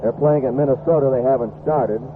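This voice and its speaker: A man's voice: mid-range voice, brisk. An American man calling a baseball game live as the play-by-play announcer. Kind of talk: live sports commentary. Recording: tinny, noisy vintage radio broadcast.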